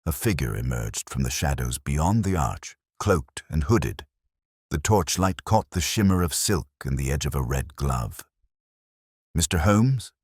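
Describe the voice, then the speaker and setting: A man's voice: rumbling low, animated, measured pace, a little mellow, husky, dry recording, studio quality. A man reading a scripted mystery story as a dramatic narrator.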